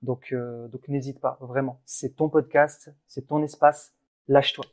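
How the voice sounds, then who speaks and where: A man's voice: medium pitch, little pitch movement, a little slow, a little mellow. A man co-hosting a casual motivational podcast, talking in an earnest, encouraging way with frequent restarts. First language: French. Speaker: podcast co-host.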